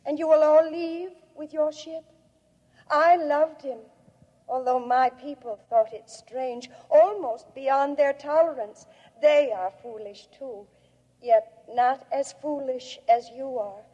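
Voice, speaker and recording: An actress playing a grieving, proud alien woman in a 1950s radio drama, speaking in a solemn, measured way. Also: high-pitched, dark tone. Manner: slow, solemn, measured delivery. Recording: muffled old radio recording, long cavernous reverb.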